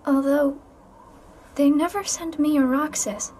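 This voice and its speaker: High, hesitant, melancholy girl. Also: unhurried, warm tone, slight background noise. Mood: hesitant, subdued. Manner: hesitant, subdued. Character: reserved, melancholy young girl among her comrades. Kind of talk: video game voice acting.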